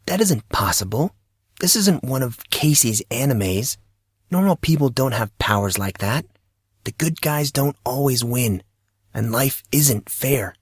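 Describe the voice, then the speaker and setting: Audio drama schoolboy, teens, played by an adult man: youthful and wry, slightly husky, close dry sound. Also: medium pitch, lively intonation, a little slow, no room sound, pitched above the performer's own voice. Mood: skeptical. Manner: casual, youthful, blurting. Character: teenage schoolboy, earnest, wry, casual.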